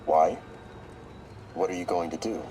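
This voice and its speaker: An actor playing a shrewd, corruptible therapist in a video game, measured, probing and knowing, with slight noise behind him. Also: male voice, low voice. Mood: wary. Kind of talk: video game voice acting, dramatic dialogue.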